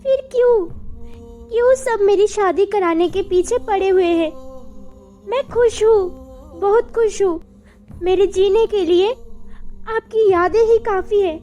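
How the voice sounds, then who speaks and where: High-pitched, emotional young mother acted by a woman. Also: slow, pauses often, warm tone, dull audio. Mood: anguished, pleading. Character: widowed young mother, emotional, devoted, still loyal to lost love. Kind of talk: acted Hindi animated story drama. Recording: background music over the voice.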